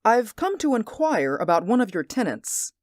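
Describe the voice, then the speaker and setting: Woman playing an anxious, animated man in radio drama. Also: a little high, moderate pace, no echo, studio quality. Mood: earnest, uneasy. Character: anxious, insistent male stage actor spying on neighbours.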